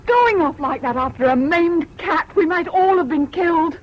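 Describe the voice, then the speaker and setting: Angry high-pitched British young woman. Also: normal speed. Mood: furious, distraught. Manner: scolding, emotional. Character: angry, distraught British wartime girlfriend with sharp temper. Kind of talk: 1970s BBC radio drama acting. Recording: muffled noisy old radio recording.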